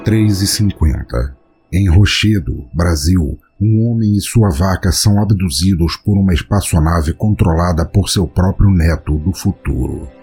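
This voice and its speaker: A man's voice: low voice, measured pace, mellow. A man with a Brazilian accent hosting and narrating a scripted storytelling podcast in a theatrical, florid manner. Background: music.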